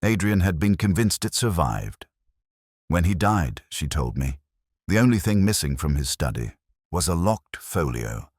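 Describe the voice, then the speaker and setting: A man reading a scripted first-person mystery story in a measured, solemn, literary manner. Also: low-pitched, big pitch swings, breathy edge, no echo, studio quality. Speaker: narrator.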